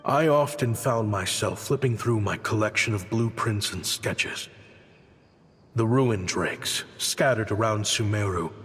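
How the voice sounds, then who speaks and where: An actor playing a weary, regretful exiled desert scholar and inventor in a game, speaking in a measured, reflective way. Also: male voice, on the low side, fairly bright tone. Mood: wistful, reflective. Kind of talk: scripted game narration and dialogue. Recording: long reverb, music behind.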